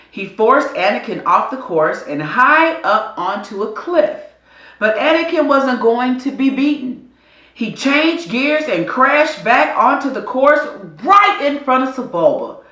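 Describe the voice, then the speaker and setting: A woman reads an adventure story for children in an echoey room. Her voice is bright and clear-cut in its storytelling, with lively swings in pitch. She takes her time, delivering the scripted narration with deliberate drama. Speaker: storybook reader.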